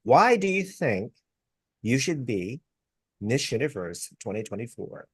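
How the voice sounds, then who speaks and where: Lively, effusive male interview host. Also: medium pitch, breathy edge, dry recording, studio quality. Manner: effusive, quick to praise and laugh. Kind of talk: casual interview chat. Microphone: video call.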